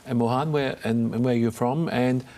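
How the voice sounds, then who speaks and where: A man's voice: medium pitch, steady tone, moderate pace, mellow. A man hosting a television talk show, presenting and putting questions to guests in a studio interview.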